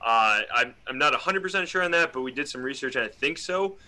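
A man with a very bright, forceful voice at an average pitch. He talks casually, interviewing as a sports podcast co-host. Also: lively intonation, fast.

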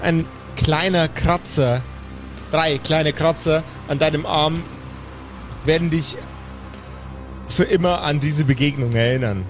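A man's voice: fairly high pitch, slow, long pauses, faint music behind. A man acting as game master, improvising narration and talking through rules in a tabletop role-playing game.

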